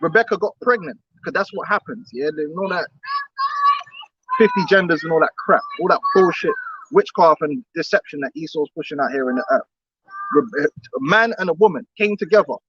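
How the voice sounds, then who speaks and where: A man's voice: a little high, lively intonation, brisk, fairly bright tone, slightly rough, dry recording, highs cut off. A man preaching outdoors on the street, emphatic and repetitive, often laughing between his points.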